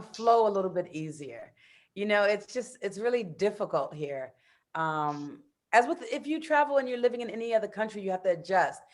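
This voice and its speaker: An American woman in her thirties chatting in an interview, animated, laughing often and using plenty of fillers. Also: medium pitch. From United States. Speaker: interview guest, expat in Brazil. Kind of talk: casual interview chat.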